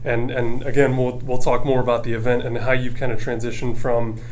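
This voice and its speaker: A man's voice: medium pitch, flat delivery, brisk, slight background noise. A man hosting a casual interview podcast, talking in a chatty, enthusiastic way and laughing often. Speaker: podcast host. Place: indoor office. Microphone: podcast mic.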